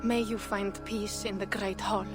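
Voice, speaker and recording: A woman's voice: medium pitch, flat delivery, measured pace, music in the background, natural voice. An actress playing a proud, grieving Viking warrior woman in a video game cutscene, speaking solemnly. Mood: proud grief.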